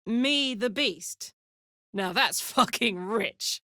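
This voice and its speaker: A woman's voice: on the high side, lively intonation, drawn-out words, pushed and bright, no room sound, clean studio sound, full character voice. A woman playing a brash, battle-hungry warrior with a big heart in a video game, speaking informally and emphatically. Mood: breezy, teasing. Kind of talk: video game character voice lines.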